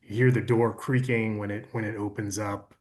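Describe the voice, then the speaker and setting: A man speaking as a producer in a casual panel conversation, explaining things in a measured way. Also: on the low side, flat delivery, darkish tone. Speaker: audiobook producer and interview guest.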